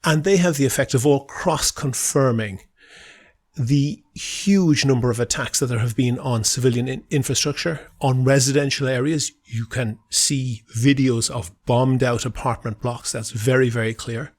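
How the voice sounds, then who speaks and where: A man's voice: medium pitch, normal speed, darkish tone. A man explaining politics as a guest on an interview podcast, in an assertive, analytical way.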